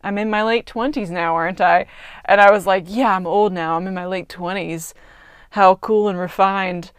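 A woman's voice: mid-range voice, fairly even intonation, moderate pace, clear, resonant tone, no room sound, clean studio sound. A woman in her twenties hosting her own podcast, talking in an earnest, chatty way and thinking aloud with little fillers. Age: 20s. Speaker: podcast host. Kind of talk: casual reflective monologue.

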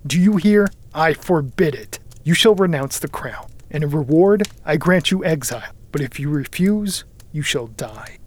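Imperious, grandiose madman for an audiobook, played by a male reader: highish voice, emphatic and commanding. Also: lively intonation, measured pace, slightly rough. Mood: furious. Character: deluded, grandiose young man, angry and imperious. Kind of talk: audiobook character acting.

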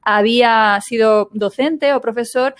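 A woman's voice: a little high, unhurried, clean tone. A woman hosting a casual conversational interview, chatty and full of little "¿no?" and "¿sabes?" tags. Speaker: podcast host.

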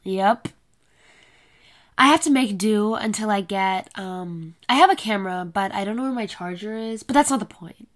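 A woman's voice: medium pitch, moderate pace. An eighteen-year-old woman hosting her own podcast, talking in a casual, blunt way with a congested, nasal voice and a lot of swearing. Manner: chatty, blunt, swears freely. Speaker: podcast host. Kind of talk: casual solo podcast chat. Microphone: laptop camera with a separate mic.

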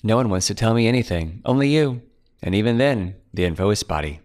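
A man's voice: on the low side, expressive, a little fast, a little mellow, lightly acted. A man voicing a chatty, gossipy young female secretary.

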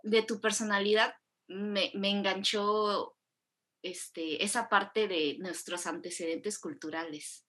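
A woman's voice: mid-range voice, fairly even intonation, takes it slowly, fairly bright tone. A woman from Mexico, hosting a casual interview and rambling animatedly with plenty of self-corrections. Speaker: host.

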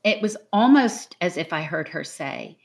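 A woman's voice: on the low side, lively intonation, unhurried, creaky. A woman giving an interview as a novelist, talking reflectively and with earnest emphasis, like someone telling a story.

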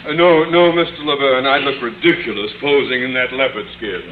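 An actor playing a pompous middle-aged school principal, blustering and stammering in an old-time radio sitcom. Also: male voice, medium pitch, brisk, phone-quality sound, natural voice. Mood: indignant. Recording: noisy old radio recording, studio audience.

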